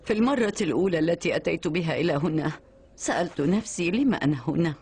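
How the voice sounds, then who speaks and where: A dignified elderly duchess. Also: female voice, lowish voice, animated, fast, darkish tone, slight background noise. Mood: solemn, gentle. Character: elderly aristocratic duchess, a grandmother, dignified and tender. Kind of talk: dubbed cartoon acting in formal Arabic.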